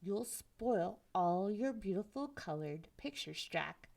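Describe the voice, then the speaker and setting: A woman's voice: medium pitch, animated, slow pace, creaky, a little mellow, heavily acted. A woman voicing Mother North Wind, a stern and firm mother scolding her son, in a children's story reading.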